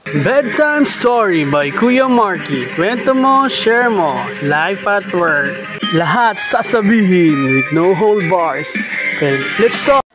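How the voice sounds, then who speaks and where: A man's voice: high-pitched, very animated, slow, rough recording. A man announcing the tagline of a bedtime story show. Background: clear music.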